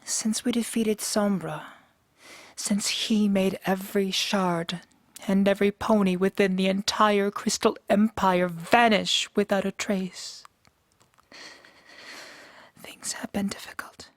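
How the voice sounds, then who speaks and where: A woman acting a stern, weary, duty-bound pony princess in a radio drama, speaking formally and gravely. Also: mid-range voice, fairly even intonation, slow, no room sound, natural voice. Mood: weary, regretful.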